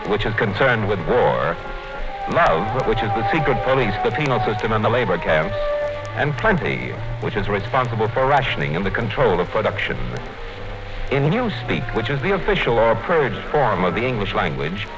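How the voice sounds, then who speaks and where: A man narrating a 1950s radio play in a grave, deliberate manner. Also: fairly low pitch, very animated, rough recording. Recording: old radio broadcast with music.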